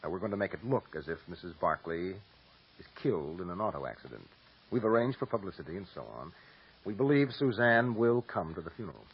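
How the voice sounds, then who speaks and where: An actor playing an authoritative defense lawyer in a 1940s radio drama, brisk and calm. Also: male voice, sits low, slight background noise. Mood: explaining. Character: confident male defense lawyer, calm planner. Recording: dull old radio recording.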